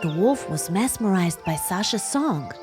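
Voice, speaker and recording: A woman narrating a children's bedtime story, telling it warmly and addressing the listeners directly. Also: medium pitch, expressive, unhurried. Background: music.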